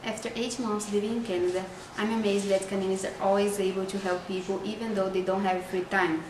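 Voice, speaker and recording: A woman's voice: medium pitch, little pitch movement, a little fast. Brazilian woman, echoey and far off. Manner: recites short prepared statements. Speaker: international student. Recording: echoey room from far off, noisy.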